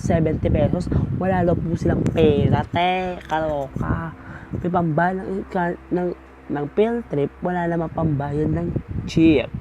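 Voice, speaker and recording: Campy Filipino young man, loud background noise. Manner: campy, gossipy, dramatic. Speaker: vlogger. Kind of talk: casual storytime vlog chat. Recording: noisy homemade recording with loud rumble.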